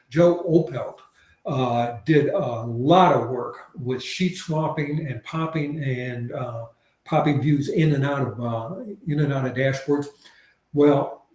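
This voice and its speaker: A man from Tennessee giving a webinar presentation over a video call, measured, with many fillers and restarts. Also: on the high side, darkish tone, reverberant.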